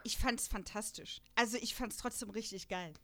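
A woman's voice: a little high, moderate pace, bright, forceful tone. A young adult woman hosting a casual podcast, chatty and explaining at length with many fillers. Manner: chatty, many fillers, laughs between points. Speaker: podcast host. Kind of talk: casual podcast chat about an audio drama.

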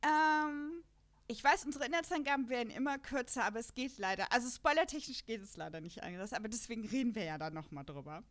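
Chatty young female co-host, giggly and relaxed, pitched a little high. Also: fast. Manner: chatty, laughs often, many fillers. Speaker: podcast co-host. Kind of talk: casual fan podcast chat.

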